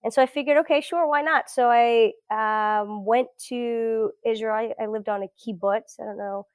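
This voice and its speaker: A woman's voice: on the high side, steady tone, normal speed, clear, resonant tone, dry recording. An American woman in her thirties chatting reflectively as an interview guest, telling her story in a casual way. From South Florida, US. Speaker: podcast guest, academic. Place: at home indoors.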